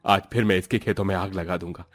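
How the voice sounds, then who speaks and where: A man as a husky, scheming village villain. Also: low voice, fairly even intonation, quick pace, no room sound. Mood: jealous, plotting. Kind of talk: animated Hindi moral story dialogue. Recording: faint music behind.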